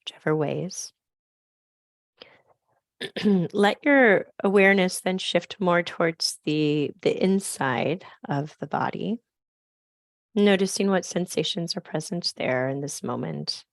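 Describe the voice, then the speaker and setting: A woman with a scratchy, raspy voice, softly leading a slow grounding meditation on a video call. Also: fairly low pitch, no echo, studio quality. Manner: soft, slow, gently inviting. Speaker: program facilitator.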